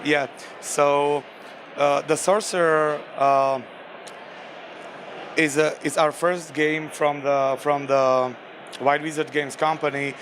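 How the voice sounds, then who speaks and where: Slovak man with a flat, halting voice. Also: on the high side, a little hiss or hum. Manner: halting, many fillers and restarts. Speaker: game designer. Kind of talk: convention interview.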